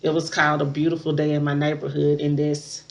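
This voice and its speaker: A woman's voice: low-pitched, monotone, brisk, creaky. A woman aged thirty-nine chatting casually and unscripted to her viewers as a vlogger, with emphatic, conversational phrasing. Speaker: vlogger reviewing a movie. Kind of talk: casual unscripted chat to camera.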